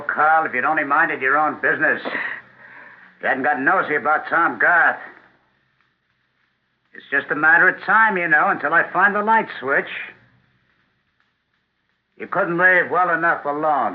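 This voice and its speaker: Casting: male actor as desperate, menacing radio-drama murderer, highish voice, narrow-band vintage sound with faint noise. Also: measured pace, pauses often, natural voice. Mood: taunting, menacing. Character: menacing, desperate male killer hunting his victims. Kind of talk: 1970s radio drama acting. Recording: phone-like 1970s radio recording, faint noise.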